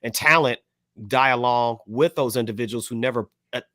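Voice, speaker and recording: A man's voice: medium pitch, normal speed, fairly bright tone, dry recording, clean studio sound. A man co-hosting a podcast in casual, slangy banter, often repeating phrases for emphasis. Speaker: podcast co-host.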